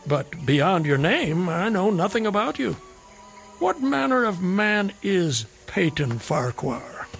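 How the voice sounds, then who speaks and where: An actor playing a garrulous, whimsical, hard-drinking drifter in a radio drama, with wry, theatrical, wordy phrasing. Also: male voice, high voice, sing-song, slow pace, creaky, faint music behind, heavily acted. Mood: florid, musing.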